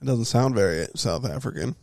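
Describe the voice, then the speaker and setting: Gravelly, crude young man bantering. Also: mid-range voice, expressive, a little fast, a little mellow, dry recording. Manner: crude, swearing, teasing. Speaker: podcast host. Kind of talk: casual banter.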